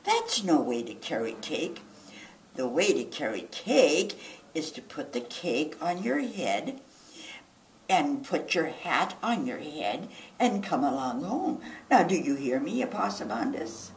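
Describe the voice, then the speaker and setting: A woman voicing an exasperated possum mother in a children's storybook, scolding in an emphatic, drawn-out way. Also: low-pitched, sing-song, full character voice. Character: scolding, exasperated, doting possum mama with Southern folksy talk. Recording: roomy, distant mic, faint noise.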